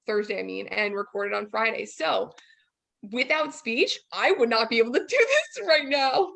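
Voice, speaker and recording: A woman's voice: on the high side, sing-song, normal speed, creaky, fairly bright tone. A woman in her early twenties chatting casually as a guest student teacher. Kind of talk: casual chat.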